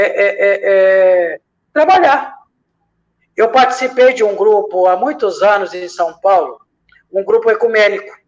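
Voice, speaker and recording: A man from from that region, a lay missionary reflecting in a church discussion, building his points from parallel examples and often hesitating. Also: very high-pitched. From Minas Gerais, Brazil. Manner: speaks in repeated parallel examples, many hesitations. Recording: dull lo-fi sound.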